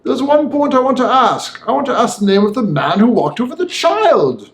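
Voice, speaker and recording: High-pitched, gravely curious lawyer gentleman acted by a man. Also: sing-song, normal speed, roomy sound, slight background noise, full character voice. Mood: grave, inquiring. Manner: formal, probing, reserved. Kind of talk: audiobook character voice.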